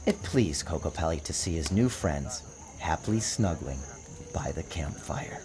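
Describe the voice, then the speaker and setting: A man giving a dramatic, expressive narration of a storybook, theatrical in his phrasing. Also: very deep voice, a little slow, a crowd in the background. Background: music.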